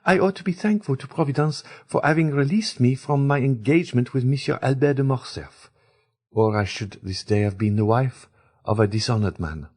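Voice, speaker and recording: A man doing a proud young woman who wants to be free, speaking high and with firm emphasis. Her lines leap widely in pitch over a breathy, husky tone, as the narrator puts her on. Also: expressive, normal speed, a little mellow, close to an everyday voice. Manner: emphatic, clipped refusals. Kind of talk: audiobook character reading.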